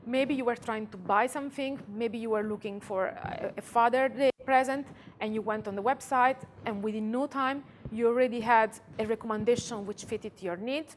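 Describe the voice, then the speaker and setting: A woman from Italy with an Italian accent, giving a conference talk and guiding the audience through a calm visualization. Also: a little high, slight background noise. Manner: calm, slow guiding voice, speaks to listeners directly. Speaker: conference speaker. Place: conference room.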